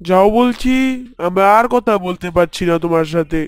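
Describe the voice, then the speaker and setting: A man's voice: high voice, moderate pace, smooth, no room sound, clean studio sound, close to an everyday voice. A man voicing a rude, stubborn neighbor boy in a cartoon, talking in a brusque, dismissive way.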